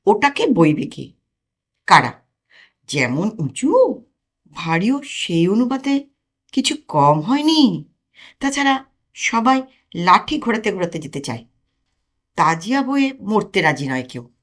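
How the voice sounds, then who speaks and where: Slow, animated woman storyteller. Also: medium pitch. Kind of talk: comic audiobook narration.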